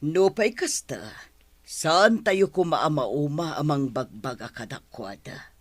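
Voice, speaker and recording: A woman playing a worried, gently reproachful middle-aged mother, imploring and concerned, in an audio drama. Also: deep voice, lively intonation, takes it slowly, dry recording, heavily acted. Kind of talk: Ilocano radio-style audio drama acting.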